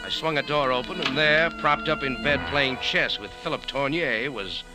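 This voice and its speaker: An actor playing a cool, wry American café owner and sleuth, laconic and deadpan in 1940s radio drama style. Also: male voice, mid-range voice, normal speed, natural voice. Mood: cool, narrating. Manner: laconic, hard-boiled, deadpan. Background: music.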